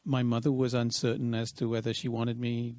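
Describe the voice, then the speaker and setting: A man in his fifties from Wales, a guest talking through his thoughts with many pauses and restarts. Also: medium pitch, little pitch movement, mellow. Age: 50s. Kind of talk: casual interview.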